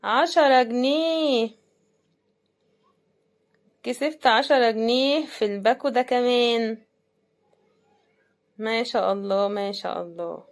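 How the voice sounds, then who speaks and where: A woman's voice: on the high side, unhurried, long pauses. A woman with an Egyptian accent giving a casual, chatty running commentary as she unboxes things, often thinking aloud. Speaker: unboxing video host.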